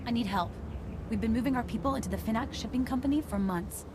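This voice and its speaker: Woman as a brisk undercover agent, noisy background. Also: on the high side, close to an everyday voice. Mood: businesslike. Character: businesslike female undercover intelligence agent. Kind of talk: scripted video game dialogue.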